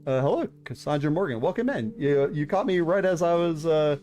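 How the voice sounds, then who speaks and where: A man's voice: fairly high pitch, animated, a little fast, dark tone, soft background music. A man in his thirties hosting a live stream, chatting casually with viewers, hesitant with many fillers and restarts. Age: 30s. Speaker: VTuber streamer hosting writing critiques.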